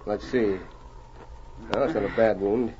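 An actor playing a firm, calm and authoritative Mountie sergeant in a 1940s radio drama. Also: male voice, on the low side, unhurried, lightly acted. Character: commanding male Mountie sergeant, calm, firm lawman hero. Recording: noisy, muffled old radio recording.

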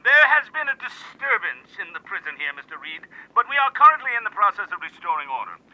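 A man's voice: high-pitched, big pitch swings, fast talker, no room sound, muffled recording. A man playing a guarded, firm navy admiral giving clipped official answers over a radio link in a drama. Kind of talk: old-time radio drama interview.

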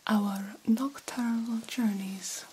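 A woman voicing a formal, grandiose vampire doctor in a breathy ASMR whisper, slowly and theatrically mentoring a fledgling. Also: mid-range voice, steady tone, over music, natural voice, low-quality recording. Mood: coaxing, instructive. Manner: slow, deliberate, formal and theatrical. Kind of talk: ASMR roleplay.

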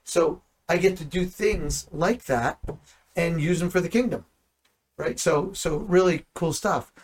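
A man in ministry teaching the Bible in conversation, animated and full of rhetorical questions. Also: a little high, measured pace, a little hiss or hum. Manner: animated, asks rhetorical questions, acts out dialogue.

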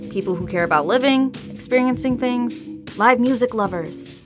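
A woman's voice: fairly high pitch, normal speed, natural voice. An actress playing a defiant, passionate young band singer, blunt and sweary, in a naturalistic audio drama with music behind her. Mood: rallying, determined. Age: young adult.

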